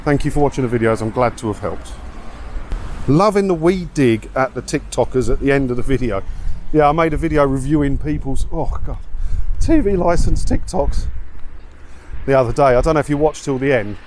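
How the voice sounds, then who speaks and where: Light outdoor noise of wind and street surrounds an English man with a mid-pitched voice. He talks casually as he walks, rambling like a video blogger. His manner is chatty, self-deprecating and given to grumbling. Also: animated, brisk, darkish tone. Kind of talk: casual walk-and-talk vlog. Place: outdoors, walking along a windy seaside town street. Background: wind, street and vehicle noise.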